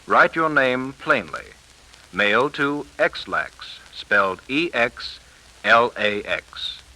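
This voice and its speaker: Bright, animated American male radio announcer. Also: mid-range voice, very slow. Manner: emphatic, polished salesmanship. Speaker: commercial announcer. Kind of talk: 1930s radio ad read. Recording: scratchy 1930s radio broadcast.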